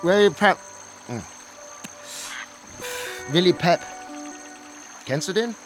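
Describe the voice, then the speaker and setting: An actor playing a streetwise, self-assured boxing fan in a radio play, talking insistently in a conversational way. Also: male voice, sits high, animated, takes it slowly, faint music behind, rough recording.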